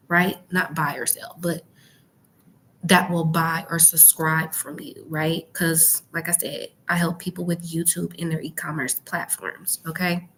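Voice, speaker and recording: Hushed, slow-talking woman. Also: lowish voice, slight background noise, poor audio. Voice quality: hushed, partly whispered voice. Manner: emphatic, rhetorical questions and frequent check-ins. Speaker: YouTube branding and e-commerce coach. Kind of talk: informal coaching talk to camera. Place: library.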